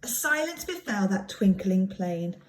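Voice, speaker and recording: A woman reading a children's story aloud in an expressive, dramatic way, like a primary school teacher. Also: mid-range voice, measured pace. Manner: animated, dramatic emphasis.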